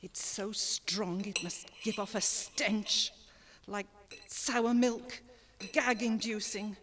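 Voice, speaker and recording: A woman playing a grieving, resentful mother sliding into madness, with brooding bitterness, in a horror audio drama. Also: fairly high pitch. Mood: brooding, bitter. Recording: long reverb, faint noise.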